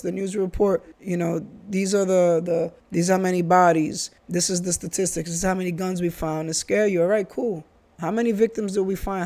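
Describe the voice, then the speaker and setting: Earnest New man, bright pitch. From Bronx, New York. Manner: earnest, restarts and repeats phrases. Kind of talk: casual chat.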